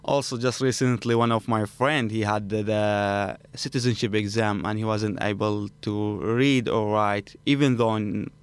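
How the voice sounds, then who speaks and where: A man's voice: fairly low pitch, fairly even intonation, a little slow, hardly any pauses, clean tone, dry recording. A man who is a native Arabic speaker, with an Arabic accent, answering conversationally as a student guest in a studio interview. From Arabic-speaking country. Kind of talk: conversational storytelling in non-native English.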